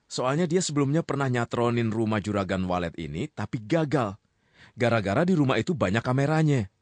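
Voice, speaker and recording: A man's voice: mid-range voice, lively intonation, fairly quick, no echo. A man hosting and narrating a comic crime story in a playful, teasing way. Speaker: storytelling host.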